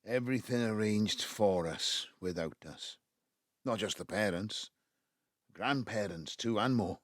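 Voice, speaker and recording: An actor playing an embittered, wistful Welsh father in his seventies, with a South Wales Valleys accent and a halting, reflective delivery in an audio drama. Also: fairly bright tone, no echo, studio quality. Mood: rueful. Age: 70s. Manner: halting, reflective, breaking into low muttering. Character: embittered ageing Welsh patriarch, wistful and self-justifying. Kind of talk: naturalistic audio drama monologue.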